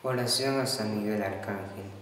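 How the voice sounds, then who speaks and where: A man's voice: lowish voice, fairly bright tone, slight background noise. Young man praying in an echoey chapel. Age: young adult. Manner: slow, measured prayerful recitation. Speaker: religious brother leading prayers. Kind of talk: reciting post-communion prayers. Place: small chapel with altar and flowers. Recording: echoey hall from far off.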